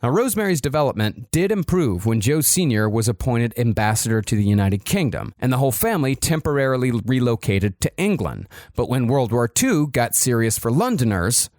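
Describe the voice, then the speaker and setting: A podcast host casually recounting a history story, conversational and swearing now and then. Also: male voice, mid-range voice, big pitch swings, a little fast, hardly any pauses, a little mellow, no echo, clean studio sound.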